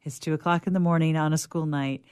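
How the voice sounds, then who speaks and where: A woman with a notably low voice joins a casual discussion. She is chatty and informal, offering small personal stories with a self-teasing touch. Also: fairly even intonation, fast talker, darkish tone, smooth. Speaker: discussion participant. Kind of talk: casual chat, personal anecdotes.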